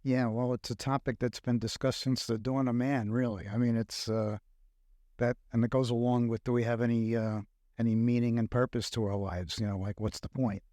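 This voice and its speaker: Halting older Brooklyn man, dry studio. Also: medium pitch, level tone, mellow, no room sound. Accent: Brooklyn New York. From Brooklyn, New York. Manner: reflective, halting with many fillers. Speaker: guest. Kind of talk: casual podcast conversation. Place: recording studio. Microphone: close studio mic.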